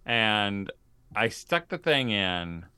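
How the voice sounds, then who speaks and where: A man with a bright, forceful and very clear voice at a middling pitch. He co-hosts casually, leaning on words for emphasis and pausing dramatically, in a dry recording. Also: sing-song, no room sound. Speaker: podcast co-host.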